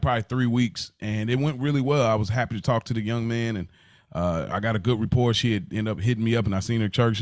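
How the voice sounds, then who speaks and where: Low-voiced American man, casual podcast host. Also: dry recording, studio quality. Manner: informal, slangy, earnest storytelling.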